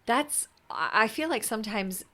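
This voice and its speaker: A woman's voice: fairly high pitch, animated, normal speed, pushed and bright. A woman conducting a conversational interview, reflecting on what her guest says in a supportive, thoughtful way.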